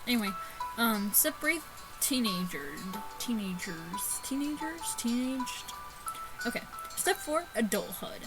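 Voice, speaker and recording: A woman's voice: on the high side, expressive, slow, pauses often, rough recording. A woman hosting a gaming video with casual, chatty let's-play commentary, stumbling over words as she reads aloud. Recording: music behind the voice.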